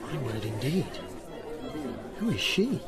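A man's voice: mid-range voice, big pitch swings, slow pace. An actor playing an eager, gallant young man in a radio drama, earnest and courteous. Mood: smitten, eager. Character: eager, gallant young great-nephew, visitor from abroad. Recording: radio play, loud music and crowd.

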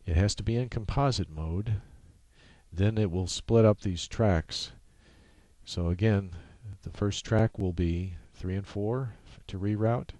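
A man with a very low, mellow voice presents an informal screencast tutorial. He explains each step in a halting, practical way. The sound is dry and close, with little room in it. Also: dry recording.

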